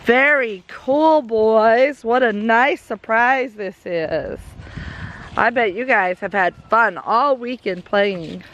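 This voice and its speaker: Bright, hoarse woman vlogging. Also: a little high, takes it slowly, lo-fi sound, a little hiss or hum. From Southern United States. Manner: chatty and upbeat, talks to her kids and camera. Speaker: homestead vlogger. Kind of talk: casual vlog chat. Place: outdoors in a backyard.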